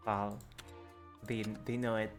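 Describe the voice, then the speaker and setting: A woman's voice: a bass voice, flat delivery, slow, music in the background. A woman livestreamer reacting casually to a game as it plays.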